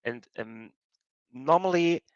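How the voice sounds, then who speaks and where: A man's voice: medium pitch, expressive, slow pace, no echo, lo-fi sound. A man with a German accent, a fertility doctor giving a webinar talk, explaining steadily with many ums and restarts. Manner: explanatory, hesitant with many fillers. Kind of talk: webinar presentation.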